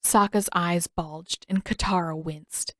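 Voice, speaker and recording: A woman reading scripted fiction as an audiobook narrator, with expressive storytelling. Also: on the low side, slow, dry recording, clean studio sound.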